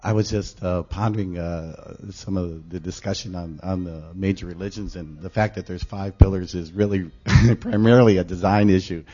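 A man with a low, hoarse voice, still getting over an illness. He speaks in a halting way with many fillers while sharing an idea with the group. The recording is dull and lo-fi. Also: steady tone, warm tone. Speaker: congregation member. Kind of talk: casual talk at a meeting.

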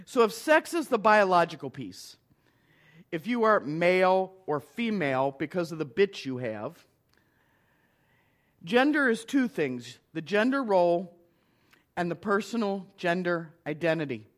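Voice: medium pitch, very animated, a little slow. A middle-aged minister giving a conversational lecture to an audience, with wry asides.

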